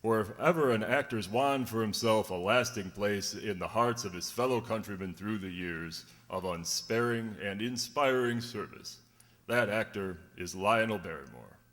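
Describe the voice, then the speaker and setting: An actor playing a formal radio narrator and host, measured and oratorical in an old-time scripted style. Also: male voice, fairly low pitch, fairly bright tone, lightly acted. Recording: echoey hall, long reverb.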